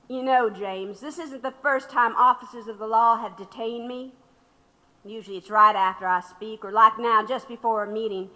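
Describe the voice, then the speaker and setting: A woman's voice: fairly high pitch, steady tone, normal speed, sharp tone, long reverb tail, muffled recording. An actress playing a sardonic, unyielding older woman labor radical under questioning in an audio drama. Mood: wry.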